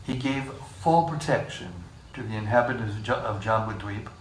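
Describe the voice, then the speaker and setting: Man reading scripture in an echoey room. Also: fairly low pitch. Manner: measured reading, joking between passages. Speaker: scripture class reader. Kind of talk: reading scripture aloud to a class. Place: room with a live group. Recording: echoey room, distant mic, faint hiss.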